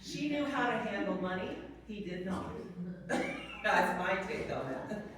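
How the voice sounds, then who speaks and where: A woman's voice: mid-range voice, expressive, a little slow, crowd noise. A woman chatting casually and matter-of-factly in a local history discussion. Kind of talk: casual chat. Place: indoor hall with an audience. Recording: very echoey room, distant, clearly noisy.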